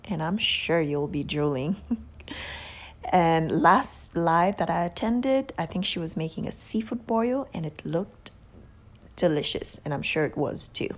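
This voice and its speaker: A woman with a Haitian accent hosting a casual vlog, giving gushing shout-outs with drawn-out emphasis and soft whispery asides. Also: on the low side, lively intonation. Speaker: beauty channel host.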